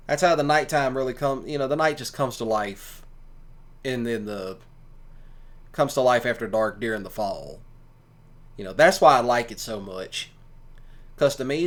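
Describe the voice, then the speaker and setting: A man's voice: mid-range voice, a bit bright. Folksy man. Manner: folksy and colloquial. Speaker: streamer and ASMR audio creator. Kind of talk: casual rambling commentary.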